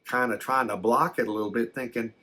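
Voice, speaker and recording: A man voicing a folksy storyteller who recounts a frightening encounter in a halting, rambling ASMR style, often stammering and backtracking. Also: medium pitch, fairly even intonation, sharp tone, a little hiss or hum, lightly acted. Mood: earnest, puzzled. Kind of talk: first-person ASMR storytelling.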